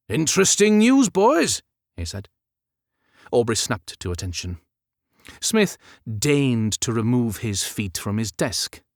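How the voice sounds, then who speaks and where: A man's voice: mid-range voice, no echo, studio quality. A man reading an audiobook as scripted literary narration.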